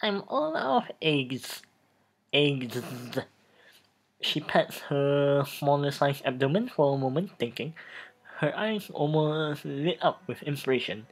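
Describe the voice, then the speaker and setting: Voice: mid-range voice, sing-song, takes it slowly. A let's play host giving a dramatic reading of game text with asides, often repeating and restarting phrases. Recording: music behind the voice. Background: music.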